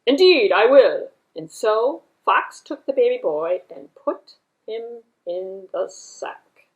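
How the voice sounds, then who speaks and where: A woman puppeteer telling a children's story in an animated, deliberate way, with repetition and conversational asides. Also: mid-range voice, low-quality recording.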